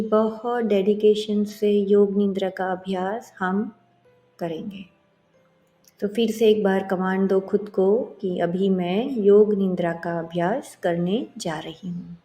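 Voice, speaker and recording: Slow-speaking woman guiding meditation. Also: medium pitch. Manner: soothing, gently instructing, with long pauses. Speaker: yoga nidra meditation instructor. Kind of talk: guided meditation. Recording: roomy echo. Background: soft music.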